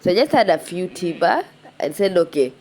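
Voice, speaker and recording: A young Kenyan woman with a Kenyan accent narrating her outdoor cooking vlog in a relaxed, chatty way, a little stuffy from a cold. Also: fairly low pitch, brisk. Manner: relaxed and chatty, with frequent "yeah" and restarts. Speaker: cooking vlogger. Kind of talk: casual voice-over narration of a cooking video.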